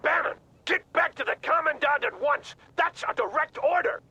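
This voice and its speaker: An actor playing a gruff, exasperated colonel giving curt orders by radio in a war video game. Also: male voice, very high voice, animated, moderate pace, pushed and bright, heavily acted. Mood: angry, urgent. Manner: curt, authoritative. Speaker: military commander. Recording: battle noise over the voice. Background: gunfire, artillery and vehicles.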